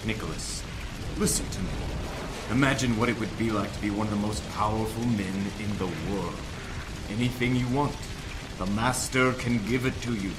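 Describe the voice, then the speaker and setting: Male actor as villainous cult patriarch: low voice, grand manipulative delivery, noir drama, rain-soaked noisy track. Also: normal speed, natural voice. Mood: persuasive. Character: scheming cult-leader father, cold, persuasive villain. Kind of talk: noir audio drama acting.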